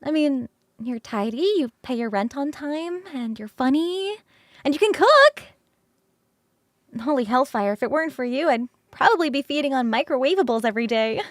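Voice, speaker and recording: Woman doing a bubbly succubus roommate for asmr roleplay, high girly voice, really dry close sound, rambly and teasing. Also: lively intonation, moderate pace, no room sound. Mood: excited, grateful.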